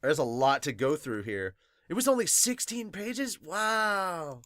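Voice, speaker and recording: A man's voice: fairly high pitch, big pitch swings, a little slow, fairly bright tone, no echo. Dry-sounding man, manga podcast host. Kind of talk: dramatic manga read-aloud and excited reaction commentary.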